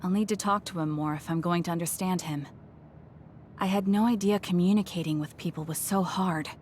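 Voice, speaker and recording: An actress playing a blunt, socially awkward young woman soldier in a video game, speaking in a measured, earnest, matter-of-fact way. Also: lowish voice, steady tone, darkish tone, a little hiss or hum, lightly acted. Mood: calm, resolute. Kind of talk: English video game voice acting.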